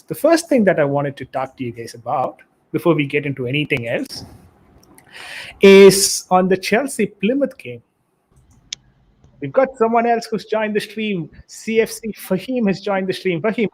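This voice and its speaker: A man hosts a casual live football stream, talking straight to his viewers. His voice sits a little high for a man, and he reads out chat messages with plenty of ums and uhs. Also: animated, moderate pace, a little mellow. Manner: reads chat messages aloud, hesitant with fillers.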